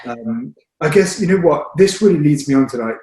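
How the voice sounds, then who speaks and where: A man's voice: on the high side, lively intonation, a little fast, warm tone, echoey room, rough recording. Encouraging male coach on a webcam. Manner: encouraging, chatty questions. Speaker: fitness coach, host. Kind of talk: video call interview. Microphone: video call webcam.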